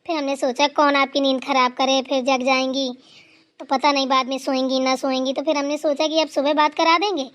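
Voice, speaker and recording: A woman's voice: sits high, flat delivery, brisk, fairly bright tone. A woman voicing a dutiful, anxious young daughter-in-law who talks eagerly and pleads. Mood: earnest.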